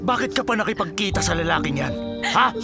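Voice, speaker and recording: A man's voice: sits high, expressive, fast, gravelly. A Filipino actor playing a hot-tempered, stern father who scolds emphatically in a radio drama. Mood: stern warning. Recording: loud dramatic music behind. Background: dramatic music.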